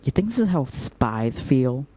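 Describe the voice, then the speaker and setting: A man's voice: mid-range voice, sing-song, a little slow, warm tone. A man playing a whimsical, teasing young operative in an audio drama, talking in a playful, mock-dramatic way.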